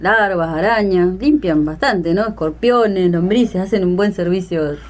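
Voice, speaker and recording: A woman's voice: fairly low pitch, measured pace. A woman co-hosting a radio programme, explaining things in a conversational style. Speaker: radio co-host. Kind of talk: conversational educational radio talk.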